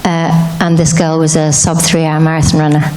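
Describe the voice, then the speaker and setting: A woman's voice: sits low, monotone, normal speed, a little mellow, reverberant, slight background noise. A woman from Aberdeen being interviewed on stage, self-deprecating and hesitant, with many ums. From Aberdeen, Scotland. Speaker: guest runner being interviewed. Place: evening event in front of an audience.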